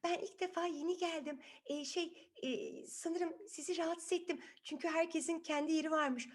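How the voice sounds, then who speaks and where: Bir kadın, çocuk masalında yalvaran, çekingen, tatlı ve minicik bir kızı seslendiriyor. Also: female voice, extremely high pitch, steady tone, moderate pace, pitched above the performer's own voice. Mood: shy. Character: tiny young fairy-tale girl, sweet, pleading, timid. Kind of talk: children's storybook voice acting.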